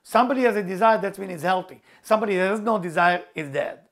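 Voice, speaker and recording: High gravelly man, Hebrew native. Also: fast, fairly bright tone. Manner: earnest, repetitive, emphatic, non-native phrasing. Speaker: spiritual teacher. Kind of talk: motivational talk to camera.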